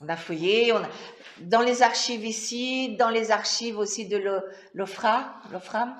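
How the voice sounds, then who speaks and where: A woman's voice: on the high side, unhurried. A woman author presenting her book at a talk, partly reading aloud and adding spoken asides. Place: indoor talk venue. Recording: echoey room.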